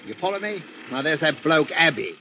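An actor playing a coarse, sneering ship's mate, in an old radio drama. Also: male voice, fairly high pitch, very animated, a little fast, pushed and bright, exaggerated voice. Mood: menacing. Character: brutal cockney bosun, middle-aged man, sneering. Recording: muffled old radio recording with faint hiss.

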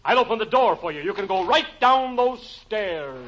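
High spooky royal ghost. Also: male voice, animated, moderate pace. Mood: inviting. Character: spooky male ghost of a medieval king. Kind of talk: 1940s radio comedy acting. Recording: muffled, noisy vintage radio recording.

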